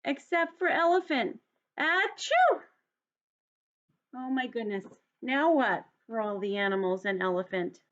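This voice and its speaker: A woman reading a children's storybook aloud in an expressive, deliberate way, like a teacher addressing young students. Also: medium pitch, highs cut off.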